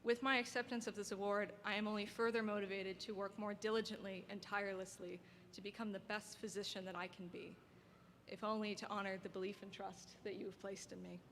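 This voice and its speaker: A soft-spoken young woman giving an earnest, heartfelt graduation speech as an award recipient, at a podium microphone. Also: mid-range voice, little pitch movement, brisk. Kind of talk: formal prepared speech. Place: ceremony hall. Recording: long hall reverb, faint background noise.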